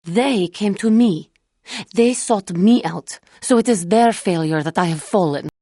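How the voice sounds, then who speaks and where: A woman playing a proud, tormented fallen warrior seeking redemption in a video game, speaking in formal, solemn and deliberate phrases. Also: medium pitch, dark tone, dry recording, clean studio sound. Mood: angry, defiant. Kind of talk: dramatic video game character dialogue.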